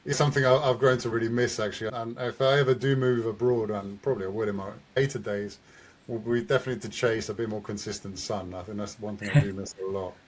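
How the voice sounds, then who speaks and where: Male podcast host from Venezuela, friendly conversational delivery, mid-pitched voice, relaxed interviewer. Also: quick pace. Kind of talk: casual interview hosting.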